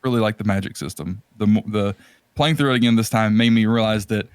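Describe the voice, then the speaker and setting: A man's voice: on the low side, fast talker, clean tone, no echo, clean studio sound. A man co-hosting a podcast in a casual, opinionated and rambling conversational style. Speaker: podcast co-host. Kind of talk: casual chat about video games.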